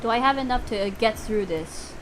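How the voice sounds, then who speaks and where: Woman, game streamer, high and very animated voice, casual, playful, exclamatory, sweary. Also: normal speed, music in the background, low-quality recording. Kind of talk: casual live gameplay commentary.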